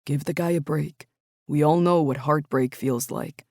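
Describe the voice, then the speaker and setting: Woman reading protective young twin brother, quiet, low, defending. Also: a little slow, no echo, studio quality.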